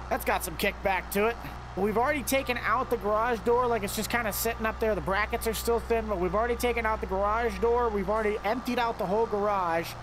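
A man's voice: very high voice, noisy background. Chatty man narrating his gameplay. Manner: chatty, talks straight to viewers, excitable outbursts. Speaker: gameplay video host. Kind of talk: casual gameplay commentary.

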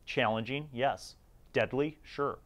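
A man's voice: medium pitch, slow pace, a bit bright. A middle-aged man giving casual, conversational review commentary as the host of a gaming series, chatty and informal with emphasis on key words.

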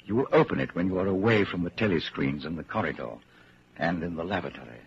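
A male narrator of a vintage radio play, measured and grave. His low voice moves dramatically up and down, heard through a scratchy, muffled old broadcast. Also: animated. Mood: grave, suspenseful. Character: grave narrator telling the listener his own story in second person.

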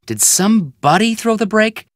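An actor playing an excitable, sceptical young American detective in a video game, expressive and wisecracking. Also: male voice, high-pitched, normal speed, no echo, clean studio sound. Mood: animated. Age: young adult.

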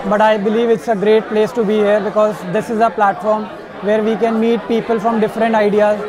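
A man's voice: sits high, little pitch movement, brisk, low-quality recording. A man in his twenties with an Indian accent, giving a casual testimonial as an event attendee. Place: large busy indoor venue. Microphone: clip-on lapel. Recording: busy crowd chatter behind.

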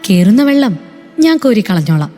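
A woman's voice: medium pitch, sing-song, a little fast, dark tone. A woman voicing the playful, affectionate spirit of a late wife in a radio drama, with a ghostly reverb. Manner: teasing, lilting. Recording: long reverb with music.

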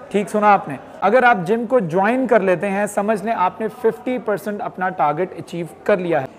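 A man's voice: sits high, a little hiss or hum. A man, a fitness vlogger giving an earnest motivational talk to camera, slipping English phrases into his speech. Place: indoor gym with green wall. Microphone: lapel mic. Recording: reverberant gym room.

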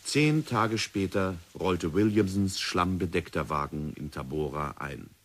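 A man narrating a 1960s radio drama in a measured, dramatic storytelling manner. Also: low-pitched.

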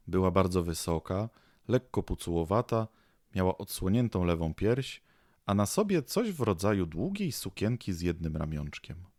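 A man's voice: low voice, a little mellow, dry recording, clean studio sound. A man narrating an audio drama in a scripted storytelling style.

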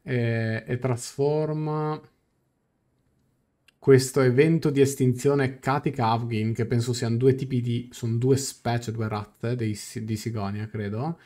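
A young man streaming a game, translating dialogue aloud in a halting, casual reaction. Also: mid-range voice, fairly even intonation, dark tone. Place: small room indoors, on webcam.

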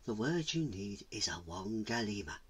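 A storyteller voicing serene, hushed mountain spirits in a whispery, breathy voice for a children's tale. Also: medium pitch, sing-song, normal speed, pitched below the performer's own voice, heavily acted.

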